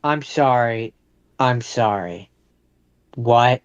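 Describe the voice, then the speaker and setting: A man co-hosting a podcast in casual banter, haltingly repeating himself as he argues his opinions. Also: medium pitch, smooth, no echo, highs cut off. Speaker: podcast co-host.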